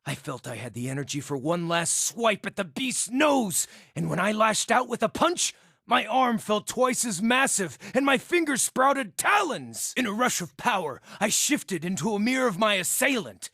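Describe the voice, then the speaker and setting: Wry, high-pitched little outcast druid. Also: male voice, sing-song, normal speed, gravelly, bright, forceful tone, breathy edge, no echo, clean studio sound, heavily acted. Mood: wry, storytelling. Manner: wry, cheeky, theatrical, wordy. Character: small, scarred, wry outcast druid shapeshifter. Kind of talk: video game character dialogue.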